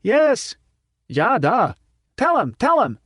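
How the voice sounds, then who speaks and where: Man voicing a high shouting rebel. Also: huge pitch swings, slow pace, slightly rough, dry recording, clean studio sound, exaggerated voice. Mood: fervent. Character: roaring crowd member, fervent rebel. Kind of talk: audiobook character speech.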